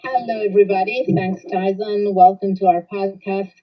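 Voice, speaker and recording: A woman's voice: mid-range voice, steady tone, moderate pace, muffled recording. A woman from Italy with an Italian accent, a coach introducing herself on a podcast and hesitating with many fillers.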